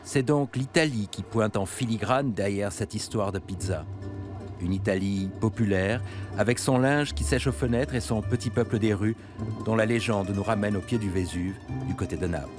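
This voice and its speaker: Lower-voiced male documentary narrator over music. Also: normal speed. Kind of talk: scripted narration.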